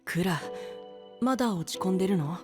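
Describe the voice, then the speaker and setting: A gentle, androgynous boyish voice, composed and tender over background music. He sounds like a considerate young knight in an anime audio drama. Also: high-pitched, lively intonation, normal speed. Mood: tender, understanding. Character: gentle, soft-spoken boy knight, calm, considerate elder twin type. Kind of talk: anime-style voice drama acting.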